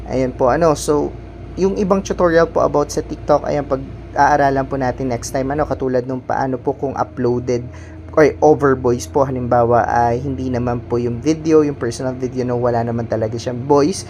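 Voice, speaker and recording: Guy doing a polite how-to for an app, average pitched voice, noisy background. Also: fairly even intonation, normal speed, a little mellow. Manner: polite, instructional, repeats verbal tags. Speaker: tutorial host. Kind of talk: step-by-step app tutorial, informal. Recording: clearly noisy background hum.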